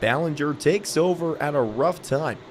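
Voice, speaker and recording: A man's voice: fairly high pitch, moderate pace. A man reading a scripted local sports news report as a reporter. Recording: noisy gym ambience behind. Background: bouncing basketballs, ambient noise.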